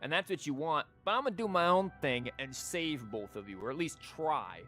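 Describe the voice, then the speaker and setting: A man's voice: on the high side, expressive, normal speed, sharp tone, faint music behind. Wry, rambling male game streamer. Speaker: game livestreamer. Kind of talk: casual livestream commentary and lore chat.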